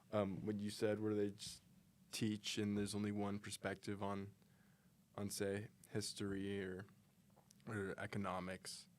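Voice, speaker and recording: A young man, a college student, answering interview questions in an earnest, steady way with some fillers. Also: fairly low pitch. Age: young adult.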